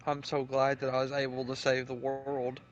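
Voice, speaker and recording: A man joining a stream over a call with quiet, teasing replies. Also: mid-range voice, monotone, moderate pace, muffled recording, a little hiss or hum. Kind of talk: casual chat.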